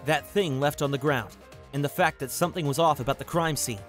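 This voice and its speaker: A man's voice: a little high, a little fast, soft background music, close to an everyday voice. A man voicing a confident, earnest teenage student in an anime fan dub. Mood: assured. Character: confident, earnest teenage male student making his case.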